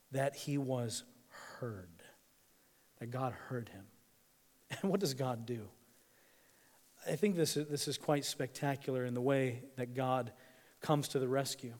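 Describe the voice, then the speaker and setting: Emphatic preacher in a reverberant hall. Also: male voice, on the high side. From East Africa. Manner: swings from hushed to emphatic, dramatic pauses. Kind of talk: sermon.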